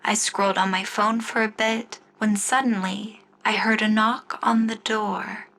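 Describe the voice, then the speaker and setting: A woman narrating a scripted first-person story in the style of an old-time radio show, with deliberate storytelling phrasing. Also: medium pitch, level tone, gravelly, a bit bright, a little hiss or hum. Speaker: writer and narrator of an audio story.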